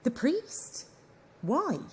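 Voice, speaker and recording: A woman's voice: sits high, huge pitch swings, drawn-out words, full character voice. An actress playing a sceptical, stubborn female news reporter in an audio drama, with an Irish accent and a blunt, probing manner. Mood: defiant. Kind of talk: naturalistic audio drama acting.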